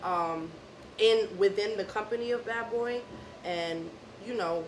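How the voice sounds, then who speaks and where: Female American interview guest, young adult, casual slangy talk, medium pitch, roomy sound. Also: unhurried. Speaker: musician being interviewed. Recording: echoey, noisy room.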